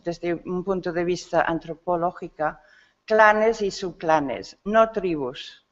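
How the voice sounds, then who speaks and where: Slow, halting woman lecturing, slightly muffled. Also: medium pitch. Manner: halting, searching for words with self-corrections. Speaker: academic speaker at a symposium. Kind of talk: conference lecture. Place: conference hall.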